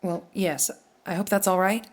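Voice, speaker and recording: Practical, kindly landlady played by an actress, mid-pitched. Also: fairly even intonation, moderate pace, lightly acted. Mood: businesslike. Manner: matter-of-fact, obliging. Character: practical, kindly island guesthouse keeper and mother. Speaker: guesthouse landlady. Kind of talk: audio drama acting, naturalistic dialogue.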